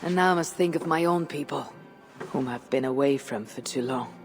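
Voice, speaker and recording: A man's voice: medium pitch, big-room sound, soft background music, natural voice. A man playing a grave Viking clan leader. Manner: measured, grave and formal. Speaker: Viking jarl character. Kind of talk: game cutscene dialogue.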